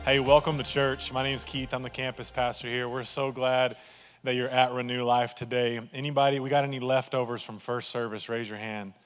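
A man's voice: mid-range voice, little pitch movement, brisk, clean tone, faint music behind. Man, Texan accent, campus pastor, casual joking welcome, hall reverb. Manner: joking, chatty, upbeat. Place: church sanctuary.

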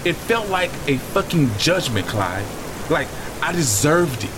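Embittered, anguished brother in rain. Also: male voice, on the high side, big pitch swings, unhurried. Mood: bitter, anguished. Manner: anguished, profane outbursts. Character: embittered, grieving brother, betrayed and lonely. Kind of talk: audio drama acting. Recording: heavy rain and storm noise behind. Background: rain and storm ambience.

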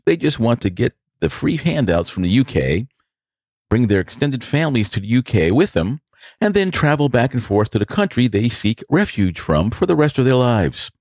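An older man with a New York accent delivers a sarcastic opinion monologue. His voice sits low, and he swings it up and down a lot. It sounds close and dry. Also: darkish tone, husky, no room sound. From New York City. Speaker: podcast host.

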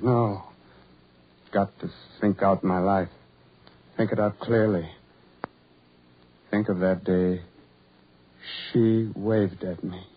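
An actor playing a lonely, self-loathing ugly farm labourer in a 1940s radio horror drama, with halting, repetitive, brooding delivery. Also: male voice, lowish voice, lightly acted. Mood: brooding, haunted. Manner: halting, repetitive, brooding, building to anguished outbursts. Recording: muffled, tinny 1940s radio recording.

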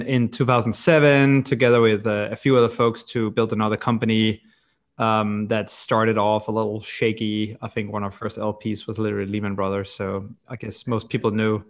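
A man in his thirties from Denmark answering interview questions as a startup founder, informal and explanatory, with soft qualifiers. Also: on the low side. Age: 30s. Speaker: podcast guest, company co-founder and CEO.

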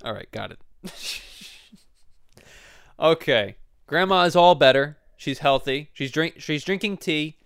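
A man's voice: on the high side, expressive, slow, pauses often, fairly bright tone, smooth, dry recording, studio quality. A young man hosting a casual podcast, teasing and playfully questioning his grandmother. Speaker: podcast host.

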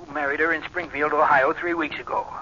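Through a telephone filter on a muffled old recording, an anxious, indignant American man. His voice is sharp, fairly high and accusatory, in 1940s radio drama style. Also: level tone, fast, a little hiss or hum. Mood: aggrieved. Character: indignant, anxious male acquaintance of a detective.

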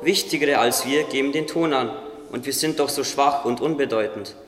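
A man's voice: mid-range voice, normal speed, close to an everyday voice. A man playing a wise, modest winged creature who encourages a friend, earnest and instructive, in a religious radio play. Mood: encouraging. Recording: echoey, long reverb, noisy ambience.

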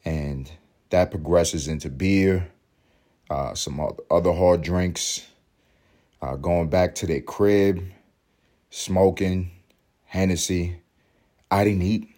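Low voiced hungover podcast dude, 30s, from nyc, scratchy, swears a lot, repeats himself. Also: male voice, a little mellow. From New York City. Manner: profane, rambling, emphatic repetition. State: hoarse, hungover. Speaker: podcast host. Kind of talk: casual solo podcast monologue.

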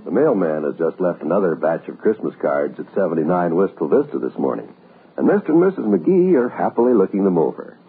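A male announcer in 1950s radio style reads with a lively, swooping delivery. His voice is fairly high and very rough and husky. The recording is tinny, with faint music behind it. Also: fairly quick.

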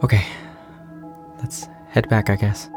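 An actor playing a loyal, caring and determined survivor in a post-apocalyptic audio drama, speaking earnestly and supportively. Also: male voice, fairly low pitch, level tone, a little slow, music in the background, close to an everyday voice. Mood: uneasy, subdued.

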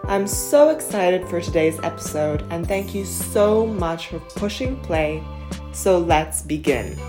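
A woman's voice: on the low side, lively intonation, takes it slowly, music in the background. A woman hosting a self-help podcast, talking casually and affectionately with fillers, while she has a cold. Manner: gentle, affectionate, rambling with fillers. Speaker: podcast host. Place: home in Germany.

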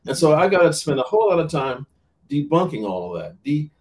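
A man's voice: a little high, sing-song, fairly quick, a little mellow, recorded from a distance. Earnest man preacher, a little off-mic. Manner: earnest, many restarts and repeated words. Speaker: minister. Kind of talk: podcast interview talk.

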